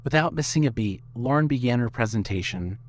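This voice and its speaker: A man's voice: mid-range voice, animated, a little fast. A man reading scripted story narration as the narrator of an audio fiction piece. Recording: faint noise and hum.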